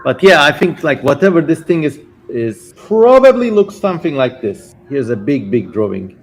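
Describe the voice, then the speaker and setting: A man's voice: fairly high pitch, sing-song, moderate pace, slightly rough, rough recording. A man hosting a livestream, commenting casually on what he reads, with informal, mildly profane tangents. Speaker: livestream host. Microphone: stationary mic, leans in to it.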